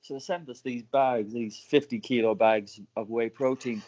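A man from Cork with an Irish accent, telling his founder story as a podcast guest in a relaxed, chatty way. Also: mid-range voice, moderate pace, lo-fi sound. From Ireland. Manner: chatty, laughs often, many restarts. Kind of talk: casual podcast interview storytelling.

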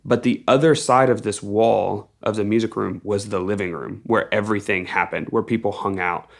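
Podcast guest: young American male musician, low-pitched with plenty of fry, informal rambling storyteller. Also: steady tone, moderate pace. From Texas, United States. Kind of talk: casual storytelling in an interview.

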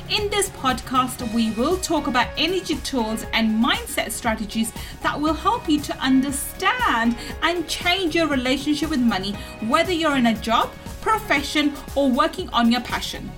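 High, animated woman over music. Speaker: podcast host. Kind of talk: scripted promotional podcast intro.

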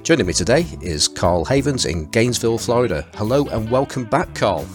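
A man hosting a podcast in a conversational, somewhat halting and hesitant way. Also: mid-range voice, very animated. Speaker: podcast host. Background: guitar music.

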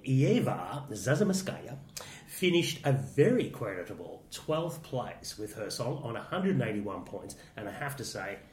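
A man's voice: medium pitch, darkish tone. A man co-hosting a casual chat that reviews song contest entries, wry and teasing as he reads out facts. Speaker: co-host. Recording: roomy echo with faint noise.

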